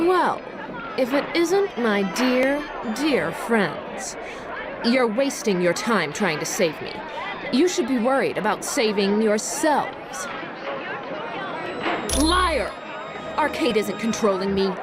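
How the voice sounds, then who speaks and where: A superpowered woman turned hostile speaks with haughty defiance. Her somewhat high voice is dramatic and threatening, set against loud video game music. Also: big pitch swings, slow, long pauses, a loud crowd. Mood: taunting, angry.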